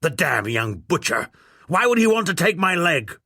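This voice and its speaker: A blustering old aristocrat who bellows orders and bristles at anyone crossing him, played by a man narrating a storybook. His voice is pitched well up and carries a lively swing, and the recording sounds close and dry. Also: normal speed, no room sound, heavily acted. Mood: outraged. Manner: imperious, indignant, commanding. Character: blustering, bullying old English lord.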